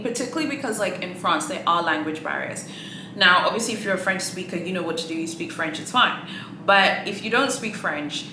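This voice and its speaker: A woman's voice: on the low side, echoey room, background noise. A woman whose native language is Swahili, commenting in a sassy, exasperated way as a luxury shopping vlogger, full of "like" and "okay". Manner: sassy, exasperated, animated, frequent likes and okays. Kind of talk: casual commentary.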